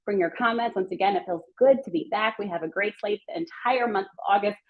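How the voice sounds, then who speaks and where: An excited, upbeat woman hosting a chatty live sports talk show, her voice a little worn. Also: fast. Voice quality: voice worn and deeper than usual. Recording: slightly dull streamed audio.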